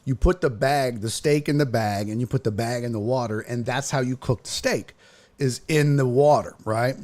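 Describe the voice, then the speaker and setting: A man's voice: mid-range voice, normal speed, darkish tone. An American man hosting a livestream, chatting casually with profane, exasperated teasing and comic exaggeration. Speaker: host of a livestream sports talk show. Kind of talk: casual chat, bantering and reading viewer comments.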